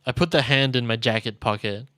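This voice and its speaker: A man's voice: mid-range voice, fairly quick, fairly bright tone. Solemn man reading out dramatic game narration. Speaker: streamer. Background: game music.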